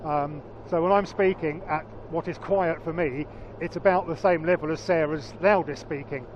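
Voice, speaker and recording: A man with a naturally loud voice, chatting casually and haltingly on a vlog, repeating words as he explains. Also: a little high, fairly even intonation. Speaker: motorcycle vlogger. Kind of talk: casual chat. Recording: dull lo-fi sound with faint traffic.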